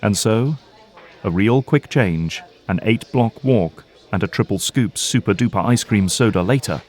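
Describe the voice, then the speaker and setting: A man's voice: on the low side, expressive, measured pace, darkish tone, breathy edge. A man delivering scripted narration as the storyteller of an audio drama.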